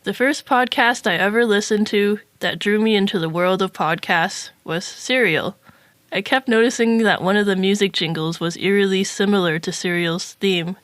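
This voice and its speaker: A woman's voice: medium pitch, moderate pace, a bit bright, dry recording. A woman co-hosting a podcast, reading out a prepared review in a scripted style.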